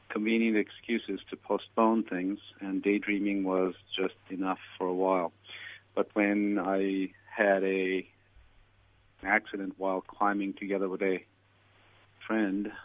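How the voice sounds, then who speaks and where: A man from Turkey answering interview questions in a measured, reflective way. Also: sits low, dry recording. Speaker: guest. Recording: tinny phone line.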